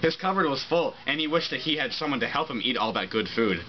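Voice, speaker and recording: A man's voice: mid-range voice, animated, fast. A man reading a children's storybook aloud to friends, adding joking asides. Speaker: reader of a children's picture book. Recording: muffled amateur recording with loud background noise. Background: friends talking and laughing over noise.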